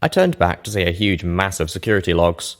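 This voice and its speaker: A man narrating a first-person fantasy story from a script, measured and expressive. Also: low voice. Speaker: narrator of a fan fiction reading show.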